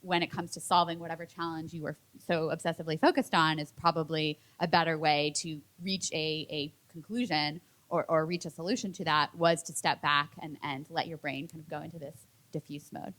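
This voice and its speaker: A woman's voice: deep voice, fairly even intonation, a little fast, few pauses, a bit bright. A woman speaking thoughtfully on a public panel, building long sentences with frequent restarts. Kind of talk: panel discussion.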